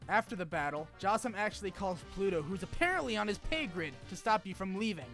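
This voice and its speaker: A man's voice: high-pitched, lively intonation, quick pace, fairly bright tone, soft background music. A man narrating a scripted but casual game review, with informal wry asides and some swearing. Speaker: video essay narrator.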